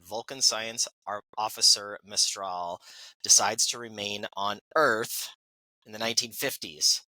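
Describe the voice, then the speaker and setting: Casual male podcast host, very bright tone, dry close sound, rambly with fillers. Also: lowish voice, no room sound, low-quality recording. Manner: rambling, laughing, many fillers. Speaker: podcast host reading poll results.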